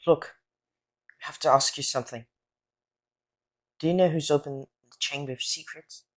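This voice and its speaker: A man's voice: a little high, fairly even intonation, moderate pace, natural voice. A young-sounding man playing a worried schoolboy hero in an audio drama, earnest and urgent. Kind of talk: fan audio drama acting.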